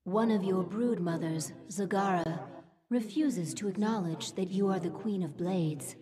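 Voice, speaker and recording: An actress playing a composed female alien adviser in a video game, delivering mission briefings in a formal, measured manner. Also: on the low side, over music, natural voice. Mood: informative.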